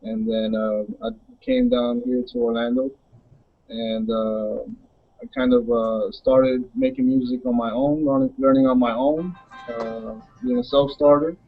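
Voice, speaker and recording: A young adult man from the Dominican Republic, a music producer answering interview questions in a halting way with many fillers. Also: mid-range voice, flat delivery, phone-quality sound.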